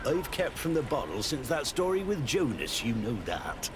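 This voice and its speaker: An actor voicing a chummy, easygoing sailor in a video game with a familiar, informal manner. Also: male voice, medium pitch, sing-song, normal speed, noisy background, close to an everyday voice. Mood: friendly, wry.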